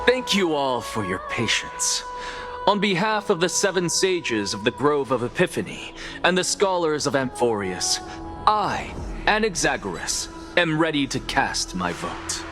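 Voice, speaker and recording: An actor playing a composed male scholar sage who speaks in a measured, formal way in a game cutscene. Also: on the high side, very animated. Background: game music.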